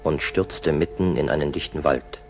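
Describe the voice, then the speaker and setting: A man's voice: rumbling low, moderate pace, soft background music. A man acting an earnest, determined Soviet fighter pilot lieutenant in a 1960s German radio drama. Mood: grave, recounting. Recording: 1960s school radio tape, faint hiss.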